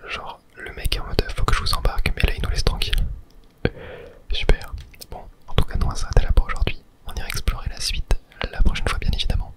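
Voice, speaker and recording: Whispering man, ASMR gamer. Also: fast. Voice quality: whispered, breathy, mostly unvoiced. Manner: casual, muttering to self and viewers. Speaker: game streamer, ASMR video host. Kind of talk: whispered ASMR gameplay commentary. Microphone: close microphone.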